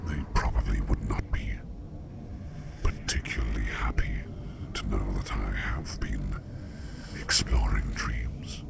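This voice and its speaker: A man playing a curious, enigmatic sleep demon in a whispered ASMR roleplay, speaking in a hushed, deliberate and formal way with a breathy, gravelly voice. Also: extremely low pitch, exaggerated voice. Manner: slow, deliberate, hushed, formal wording with repeated phrases. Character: curious, enigmatic male sleep demon who walks dreams.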